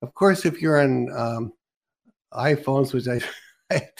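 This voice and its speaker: A man's voice: medium pitch, slow pace, gravelly. A man hosting a live broadcast, talking casually with many restarts and fillers. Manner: rambling, hesitant with many restarts. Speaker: show host.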